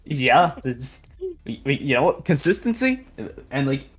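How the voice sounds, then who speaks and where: Young adult, medium pitch, informal rambling stream chat, stop-and-start delivery, music in the background. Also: big pitch swings, moderate pace, breathy edge. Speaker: video editor on a fan animation project. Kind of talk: casual behind-the-scenes livestream chat. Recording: music behind the voice.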